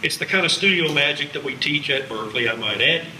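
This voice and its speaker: Echoey, bright-voiced American man lecturing. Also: a little high, lively intonation, a little fast. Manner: wry, jokey asides. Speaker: music college lecturer. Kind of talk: public lecture with slides. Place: museum lecture room. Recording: echoey hall, distant, faint background noise.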